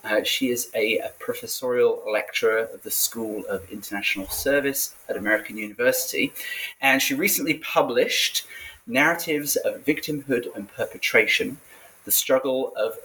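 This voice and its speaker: A man hosting an academic book podcast, introducing his guest in a formal but halting way. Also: mid-range voice, pushed and bright, rough recording. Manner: halting, frequent hesitations. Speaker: podcast host and professor.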